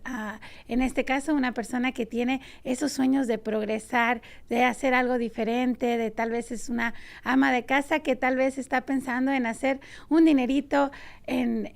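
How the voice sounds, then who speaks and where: A woman hosting a casual morning show, chatting and interviewing in an encouraging, friendly way. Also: high voice. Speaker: host of a local morning show.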